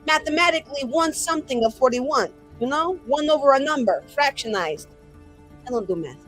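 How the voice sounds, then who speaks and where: Woman acting exasperated, high-voiced vain lady mage. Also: expressive, normal speed, fairly bright tone, faint music behind. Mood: sulky, ranting. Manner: sarcastic, foul-mouthed ranting. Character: exasperated, vain, profane woman mage sending dream messages.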